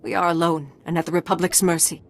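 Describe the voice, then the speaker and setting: A woman's voice: on the low side, fairly even intonation, quick pace, background noise, natural voice. An actress playing a proud, aggrieved refugee leader in a video game, pleading formally and earnestly for her people. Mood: earnest appeal. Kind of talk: dramatic scripted video game dialogue.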